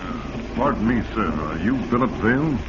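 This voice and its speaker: An actor playing a polite, attentive, wealthy investment broker in a 1940s radio drama. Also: male voice, fairly low pitch, big pitch swings, normal speed. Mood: courteous. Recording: muffled 1940s radio recording with hiss.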